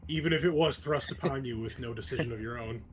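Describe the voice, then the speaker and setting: A man's voice: medium pitch, quick pace, a crowd in the background. A man chatting casually as a player in a tabletop roleplaying game.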